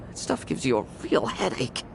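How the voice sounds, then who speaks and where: A man's voice: on the high side, wildly swooping pitch, normal speed, vocal fry, background noise, exaggerated voice. A man playing a defiant, sardonic young knight in a video game, with a wry, cocky delivery. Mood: wry, casual. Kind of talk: game voice acting.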